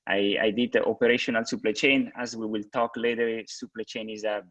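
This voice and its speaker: A man who grew up in Switzerland speaks from a low register, answering as a guest executive on a video call. He talks haltingly, with fillers and restarts. The sound is dry and close, with no room around it. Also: little pitch movement, fairly bright tone, dry recording, dull audio. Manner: hesitant, many restarts and fillers. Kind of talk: webinar interview answers.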